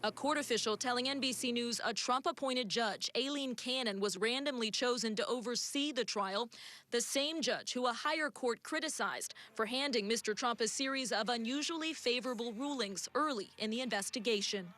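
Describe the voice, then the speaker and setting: A woman's voice: a little high, moderate pace, sharp tone. A woman with an American accent reading a scripted TV news report in a formal correspondent's delivery.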